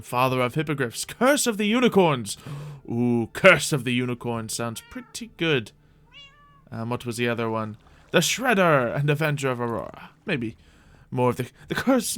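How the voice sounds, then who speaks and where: A man's voice: mid-range voice, fairly bright tone. A man doing live gaming stream commentary with playful roleplay, rambling along in a playful, mock-grandiose way. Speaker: game streamer.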